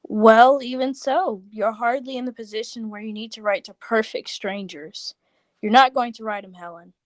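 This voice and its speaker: A woman playing a practical, disapproving married sister in a 1940s-style radio play, brisk, scolding and exasperated. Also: a little high, fairly even intonation, no room sound, lightly acted, rough recording.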